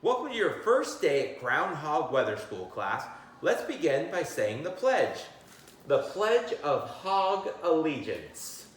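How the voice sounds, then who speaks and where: A man's voice: fairly high pitch, big pitch swings, takes it slowly. A man voicing an earnest groundhog weather forecaster from a storybook, announcing like a schoolteacher. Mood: welcoming. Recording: very echoey, roomy sound.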